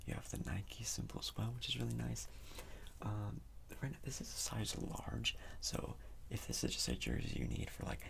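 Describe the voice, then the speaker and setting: A man speaking in a soft, breathy whisper for an ASMR roleplay, rambling casually like a store clerk helping a customer. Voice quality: breathy whisper with low creaky undertone. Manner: casual, rambling salesman patter. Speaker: ASMR performer playing a sports store clerk.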